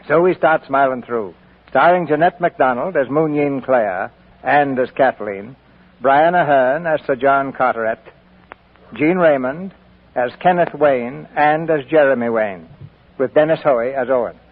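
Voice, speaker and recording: A man narrating and announcing a radio drama in a smooth, formal style. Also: mid-range voice, a little slow. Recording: tinny old radio broadcast, faint music.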